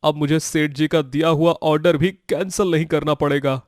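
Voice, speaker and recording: A man's voice: fairly high pitch, fairly even intonation, normal speed, darkish tone, no echo, studio quality, lightly acted. A man playing a poor, naive village farmer in a children's moral story, plaintive, earnest and easily amazed. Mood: amazed, grateful.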